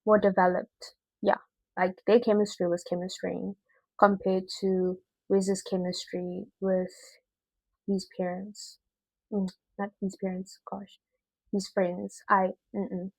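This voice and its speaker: Slow-paced, crisp-voiced woman chatting casually. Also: mid-range voice, no echo, rough recording. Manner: expressive, slangy, emphatic repetition. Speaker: video reviewer. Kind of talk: casual chatty movie review.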